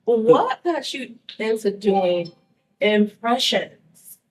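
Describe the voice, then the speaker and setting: A woman's voice: medium pitch, expressive, very slow, a little mellow. A woman hosting a casual interview, asking curious, playful questions. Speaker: host.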